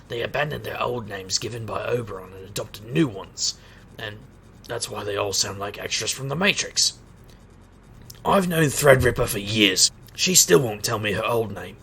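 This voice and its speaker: An actor playing a wry, stubborn street magician detective in an audio drama, with a gravelly, sardonic delivery. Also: male voice, mid-range voice, bright, forceful tone, slight background noise. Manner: sardonic, wisecracking. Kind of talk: audio drama acting and noir-like narration.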